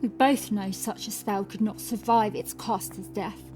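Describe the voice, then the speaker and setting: A woman's voice: mid-range voice, steady tone, measured pace, a little mellow, soft background music, close to an everyday voice, poor audio. Young female accuser in medieval horror, done by a woman narrator: harsh, clipped, unyielding. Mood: clipped, challenging. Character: Remy, hard, accusing young female ward.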